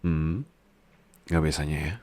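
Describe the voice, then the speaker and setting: A man's voice: very deep voice, fairly even intonation, measured pace, darkish tone, dry recording. A man playing a doting, worried boss husband who is clingy like a big baby, coaxing and teasing tenderly in an intimate ASMR roleplay. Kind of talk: intimate ASMR boyfriend-style roleplay in casual talk.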